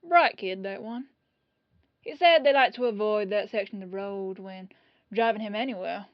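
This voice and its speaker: A woman's voice: mid-range voice, normal speed, no echo, close to an everyday voice. Woman doing a lady detective in an audio drama, acts casual but suspicious, dry slightly muffled sound. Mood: casual suspicion. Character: dogged female police detective, casually probing, quietly suspicious. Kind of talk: scripted audio roleplay.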